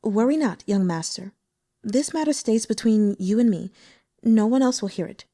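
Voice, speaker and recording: A woman's voice: fairly high pitch, lively intonation, moderate pace, no echo, clean studio sound. A woman doing a coy, scheming young woman. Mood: sweet. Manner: soft, demure and sweetly pleading.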